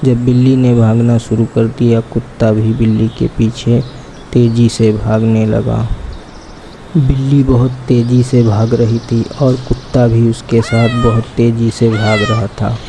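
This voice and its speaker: A man narrating a scripted children's story in a plain, deliberate storytelling manner. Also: mid-range voice, level tone, mellow, slight background noise, low-quality recording.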